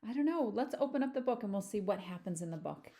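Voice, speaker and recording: Female storybook reader, teacher type, animated delivery, talks directly to children. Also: mid-range voice, fast talker, slight background noise. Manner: animated, addresses young listeners with questions. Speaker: teacher reading a picture book aloud. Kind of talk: children's storybook read-aloud with chatty asides.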